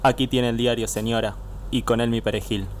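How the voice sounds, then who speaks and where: A man's voice: mid-range voice, little pitch movement, a little fast, a little hiss or hum, natural voice. A man playing an eager, deferential bit-part actor in a comic radio drama.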